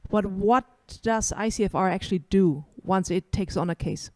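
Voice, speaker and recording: A woman's voice: medium pitch, normal speed, mellow, no echo. A woman who directs a festival, moderating a panel conversation and asking probing follow-up questions.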